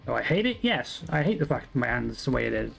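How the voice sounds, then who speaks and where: A man's voice: medium pitch, big pitch swings, fast, creaky, fairly bright tone, faint music behind. A man from Wales with an English accent, chatting casually and swearing freely while playing a factory-building game, often putting himself down. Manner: swears freely, self-deprecating, muttering to himself. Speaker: game streamer. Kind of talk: casual gameplay commentary.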